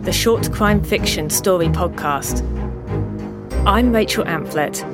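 A woman's voice: fairly low pitch, takes it slowly. A woman reading a scripted podcast introduction as its host. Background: loud music.

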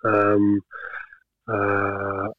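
A man's voice: fairly low pitch, very slow, dry recording. Easygoing New man, dull lo-fi sound. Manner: relaxed, repeats words while searching for thoughts. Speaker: guest, rugby skills coach. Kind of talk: casual interview chat.

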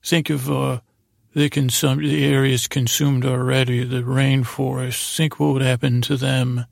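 A man voicing a pushy, nosy philosopher inside a sleeper's head, lecturing in a gravelly, stammering, pedantic way. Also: medium pitch, level tone, unhurried, no room sound. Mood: pedantic, eager.

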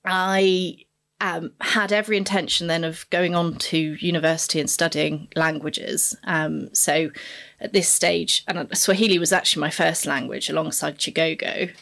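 Low, gravelly woman telling her story. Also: steady tone, moderate pace, fairly bright tone. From East Africa. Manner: reflective, searching for words. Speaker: podcast guest. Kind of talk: casual interview chat.

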